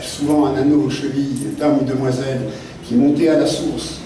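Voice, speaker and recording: An older man, a guest author seated at a desk microphone, telling memories in a halting, digressive way full of fillers. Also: mid-range voice, level tone, mellow, a little hiss or hum. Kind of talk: rambling spoken memoir talk. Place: literary café meeting room. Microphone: desk mic on stand, seated. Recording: very echoey room, mic at a distance.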